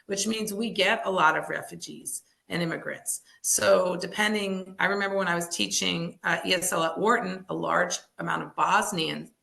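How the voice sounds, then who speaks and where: A woman's voice: on the low side, fairly even intonation, moderate pace, creaky, hardly any pauses, sharp tone. A middle-aged woman founder answering interview questions in an earnest, explanatory way with frequent restarts. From United States. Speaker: language services founder.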